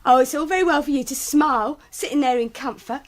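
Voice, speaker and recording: Radio drama: jittery servant woman played by an actress, high voice, colloquial and put-upon. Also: brisk, lightly acted. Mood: frightened, indignant. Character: jittery, indignant female kitchen servant.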